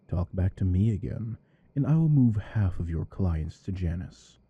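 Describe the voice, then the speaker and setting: A man acting a weary, possessive, domineering office boss with a deep voice, in a scripted ASMR roleplay. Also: lively intonation, normal speed, slightly rough, no echo, phone-quality sound, full character voice. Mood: cold, stern.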